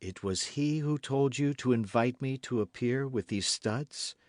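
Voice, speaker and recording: A man's voice: mid-range voice, a little slow, dry recording, studio quality, voice pushed up, lightly acted. A man voicing a frightened queen in an audiobook, stammering and imploring. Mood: fearful. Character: frightened, pleading queen, adult woman. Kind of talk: audiobook character reading.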